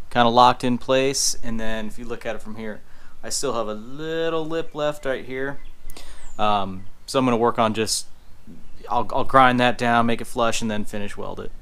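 A man's voice: lowish voice, a bit bright, clear, resonant tone. A man explaining a hands-on build casually and informally, thinking aloud as he goes in a garage workshop. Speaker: host of a motorcycle build channel. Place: small garage workshop, indoors.

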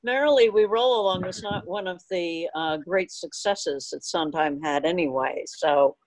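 A woman's voice: lowish voice, normal speed, a little mellow, rough recording. A woman chatting casually as she hosts a club meeting over a video call. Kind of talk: casual chat.

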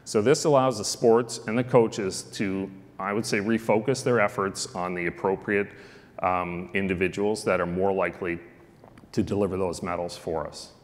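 A man's voice: deep voice, fairly even intonation, normal speed, in a large hall. A middle-aged man giving a conference talk at a lectern, conversational, with frequent ums and uhs. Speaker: keynote speaker on sports analytics. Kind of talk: conference presentation. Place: large conference hall, at a lectern. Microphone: lectern microphone.

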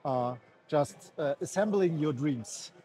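Shop staff, man, slightly high voice, calm explainer, expo hall. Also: slow pace. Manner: calm, informative, a few fillers. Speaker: bike shop staff, guest. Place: busy indoor bike expo hall.

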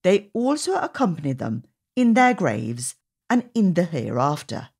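A woman reading aloud to young children as a storytime host, with expressive, deliberate phrasing. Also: fairly low pitch, husky. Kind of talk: read-aloud narration for children.

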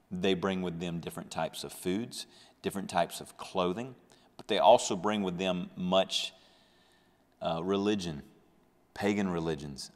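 Earnest man in his thirties, low voice, Bible teacher at a desk mic, some lingering reverb. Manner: earnest, reads scripture with swelling emphasis. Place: small studio with a dark backdrop. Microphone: large desk microphone close up.

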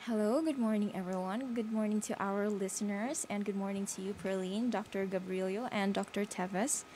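A woman's voice: medium pitch, steady tone, normal speed, dark tone, a little hiss or hum. A young woman with a Filipino accent, a student representative, speaking earnestly on air with some fillers. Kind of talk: radio studio remarks.

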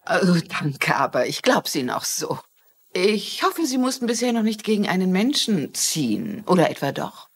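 An actress playing a courteous, gently ironic older woman who writes mysteries, in a German-dubbed TV crime drama. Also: on the low side, sing-song, moderate pace, breathy edge, heavily acted. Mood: wry, polite.

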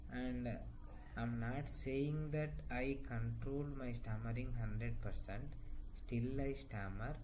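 A young Indian man speaks slowly and deliberately into a laptop webcam, with pauses between phrases and now and then a repeated word. His voice sits at a mid pitch for a man. A steady hum of room noise runs clearly under the whole recording. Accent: Indian English. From Hyderabad, India. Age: young adult. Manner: slow, careful speech with pauses and occasional repeated words. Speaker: testimonial speaker. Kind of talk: personal testimonial to camera. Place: indoor room at home. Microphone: laptop webcam at desk distance. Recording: noisy home webcam recording.

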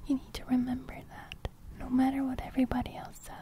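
A young adult woman whispering soothingly for an ASMR roleplay close to the microphone, with a breathy crackle that edges into soft speech. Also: sits high, monotone. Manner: soothing, slow, reassuring. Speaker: ASMR host. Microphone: large studio microphone, very close.

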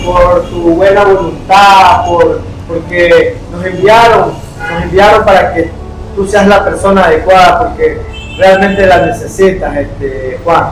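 A man interviewing and gently questioning a guest, often repeating words as he goes. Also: sits high, steady tone, unhurried, slight background noise. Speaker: interviewer giving money. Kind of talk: scripted charity interview. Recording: echoey room with music behind.

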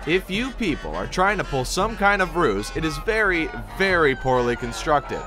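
A man's voice: on the high side, big pitch swings, normal speed, over music. A man voicing a polite, formal male train concierge in a fan comic dub, courteous and a bit flustered.

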